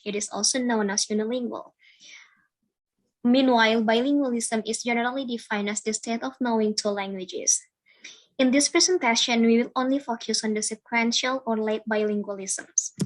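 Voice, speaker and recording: Woman giving a formal, slightly high-pitched presentation. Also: steady tone, moderate pace, low-quality recording. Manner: formal, reading from prepared text. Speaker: student presenter. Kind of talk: scripted academic presentation.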